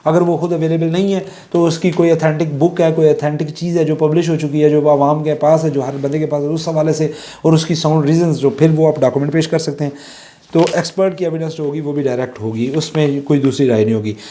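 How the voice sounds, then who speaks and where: A man with a Pakistani accent gives an informal law lecture, switching into English legal terms and reading citations deliberately. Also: on the high side, steady tone, dark tone.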